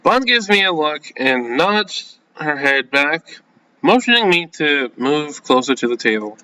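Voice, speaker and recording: Man, gaming host, visual novel read-aloud, lively intonation, bright forward tone, flustered casual asides, halting delivery. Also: fairly high pitch, poor audio. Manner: flustered, halting, self-censoring with casual slang.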